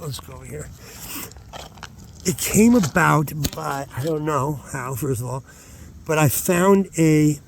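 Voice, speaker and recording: A man's voice: mid-range voice, a little hiss or hum. A man talking casually as host of his own outdoor vlog, hesitant and meandering, often interrupting himself. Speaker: channel host. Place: outdoors at night, rockhounding.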